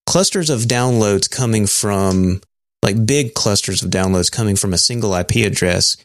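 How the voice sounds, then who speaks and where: A man's voice: lowish voice, moderate pace, no echo. A man co-hosting a podcast in casual chat, talking through technical details with dry humor. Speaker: podcast co-host.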